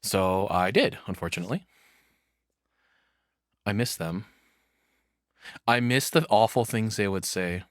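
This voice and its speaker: A man's voice: fairly low pitch, measured pace, pauses often, no echo, studio quality. A man doing casual live reaction commentary in a rambling, frank, crudely humorous way. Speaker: reaction streamer.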